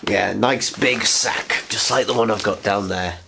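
A man's voice: lowish voice, unhurried, creaky, sharp tone. Young guy, nasal with a cold, chatty self-mocking vlogger, close camera mic, faint hiss behind. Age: young adult. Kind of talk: casual unboxing chat. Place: living room at night, indoors. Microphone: camera on a tripod, sitting close.

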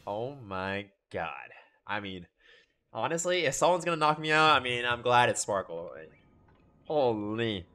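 Animated, bright-voiced young male streamer. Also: mid-range voice, measured pace. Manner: excitable, slangy, exclamatory. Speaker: game streamer. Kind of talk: live cutscene reaction commentary, casual chat. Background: game music.

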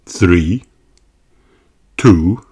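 A woman narrating a children's picture book, reading deliberately with carefully separated words for young learners. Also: extremely low pitch, warm tone, breathy edge. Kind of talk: slow read-aloud storytelling for young learners.

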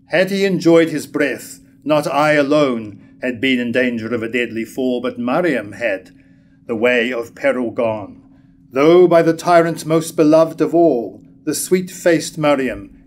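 An older man in his seventies playing a bitter, reproachful wronged husband in a staged verse tragedy, measured and declamatory. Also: on the high side. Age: 70s. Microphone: stand microphone at lectern.

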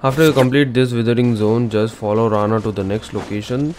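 A man's voice: medium pitch, steady tone, brisk, a little mellow, slight background noise. A man narrating a game walkthrough as an informal, instructional gaming channel host. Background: game music.

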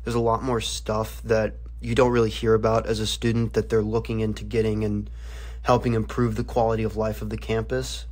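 A man's voice: on the low side, level tone, brisk, darkish tone. A young man explaining things as a student reporter in an informal, conversational interview, phrasing his answers loosely. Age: young adult.

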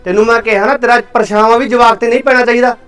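A man's voice: very high-pitched, steady tone, a bit bright, smooth. A man playing a domineering husband who scolds his wife in a family drama. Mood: scolding. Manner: scolding, ordering, fast.

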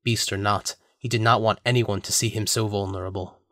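A man's voice: lowish voice, fairly even intonation, brisk, sharp tone, dry recording, studio quality. A man narrating a scripted audio drama as a storyteller.

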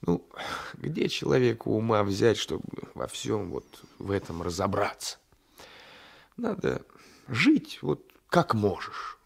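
Creaky-voiced, sly gendarme. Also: male voice, lowish voice, very animated, takes it slowly, heavily acted. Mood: scornful, urging. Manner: insinuating, patronising, repeats phrases. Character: domineering, sly gendarme coaxing a weaker man. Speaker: radio drama actor. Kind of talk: Soviet-era 1980s radio play acting.

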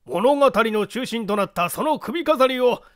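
A man's voice: very high voice, expressive, a little slow, fairly bright tone, no echo, clean studio sound, voice pushed up, full character voice. A man voicing a proud, genial aristocrat who speaks in a grand, formal, declamatory way. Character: proud, genial aristocratic uncle hosting a banquet.

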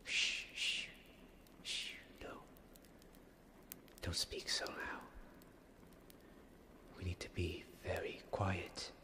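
Young medieval knight, played by a man. Wary, halting, often whispering, low-pitched, for an ASMR fantasy roleplay. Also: monotone. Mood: wary, hushed. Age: young adult. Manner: hushed, halting, breathy whispering.

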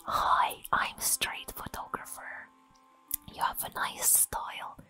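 Woman, ASMR gamer; airy whisper throughout, bright and crisp, playful and giggly, unhurried. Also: faint music behind. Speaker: gamer playing a barista game. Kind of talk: whispered ASMR gameplay commentary.

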